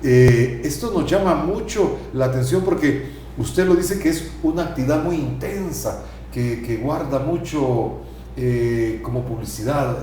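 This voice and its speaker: A man's voice: mid-range voice, darkish tone, heavy reverb, a little hiss or hum. A man hosting a TV interview show, chatty and asking frequent tag questions. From El Salvador. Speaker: TV talk show host. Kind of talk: conversational TV interview. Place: TV studio.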